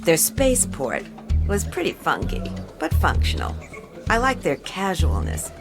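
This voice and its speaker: A woman playing a cool, wry adventurer, narrating a radio drama with dry, deadpan delivery. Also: fairly low pitch, unhurried, music in the background. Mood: dry, amused.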